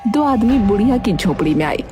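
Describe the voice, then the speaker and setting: A woman's voice: mid-range voice, animated, fairly quick, music in the background. A woman giving scripted narration for a story.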